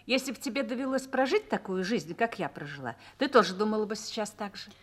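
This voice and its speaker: An actress playing a calm, motherly older army wife in a Russian radio drama, speaking in a soothing, patient way. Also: medium pitch, fast talker, lightly acted. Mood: warm, reminiscing.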